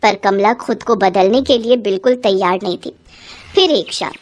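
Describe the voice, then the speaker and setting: A woman narrating a cartoon family story in a lively, scripted storytelling way. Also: medium pitch, normal speed.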